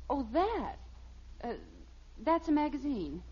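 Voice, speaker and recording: Sweet naive American housewife, placating, high lively voice, 1950s radio sitcom, dull recording. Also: female voice, takes it slowly, full character voice. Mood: innocent, placating. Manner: placating, naive, gently defensive. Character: sweet, innocently evasive suburban housewife and mother. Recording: dull, slightly noisy old radio recording.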